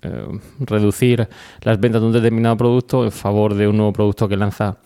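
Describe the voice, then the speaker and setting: Low, mellow Spanish man hosting a podcast. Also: little pitch movement, fairly quick, clear, resonant tone. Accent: Spanish from Spain. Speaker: podcast host. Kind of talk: informal explanatory podcast monologue.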